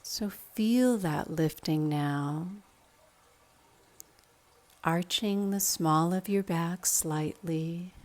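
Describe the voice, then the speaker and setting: A woman leading a guided meditation in a calm, measured, instructional manner. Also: on the low side.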